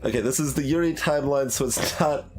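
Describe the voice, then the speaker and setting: A man giving casual, halting playthrough commentary as a game streamer, thinking aloud in an analytical, musing way. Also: mid-range voice, faint music behind.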